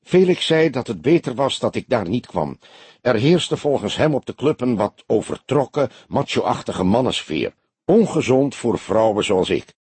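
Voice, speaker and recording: A man's voice: mid-range voice, sing-song, moderate pace, darkish tone, husky, no room sound. A man reading an audiobook, voicing an indignant, guarded woman under questioning who answers sharply and curtly, sometimes hesitantly. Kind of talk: audiobook character dialogue.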